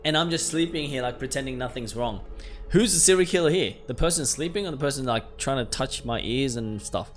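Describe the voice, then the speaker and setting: A man with a mid-pitched, highly animated voice doing casual let's play commentary and reading game text aloud. He is expressive and unfiltered, and music plays clearly behind him. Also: brisk, few pauses. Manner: profane, expressive reactions. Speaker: gamer host reading a horror visual novel aloud.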